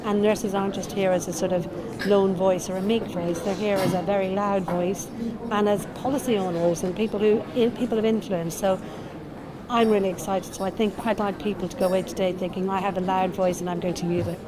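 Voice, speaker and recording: A woman's voice: medium pitch, little pitch movement, fairly quick. A woman, a nurse by background, answering interview questions at an event in an earnest manner, restarting and thinking aloud. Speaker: nurse and transformation consultant. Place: conference event. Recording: busy crowd noise behind.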